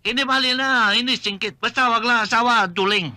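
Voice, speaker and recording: An actor playing a smitten suitor who owns a noodle shop, speaking in broken, pidgin grammar. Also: male voice, extremely high pitch, brisk, bright, forceful tone, dry recording, heavily acted. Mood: earnest.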